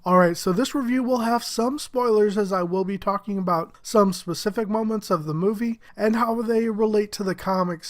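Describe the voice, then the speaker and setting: A man giving a casual, upbeat movie review, his voice a little muffled from a head cold, chuckling now and then. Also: high voice. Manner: upbeat, enthusiastic, chuckles often.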